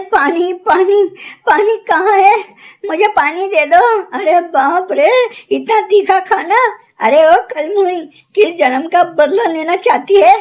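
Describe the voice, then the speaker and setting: A woman voicing a bitter, scolding older mother-in-law crow in a cartoon story, harsh, taunting and exclamatory. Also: very high-pitched. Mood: frantic, furious. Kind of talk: Hindi cartoon story acting.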